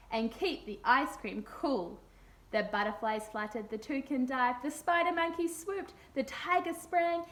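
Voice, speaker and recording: A woman's voice: high voice, fairly bright tone. A woman reading a children's picture book aloud expressively.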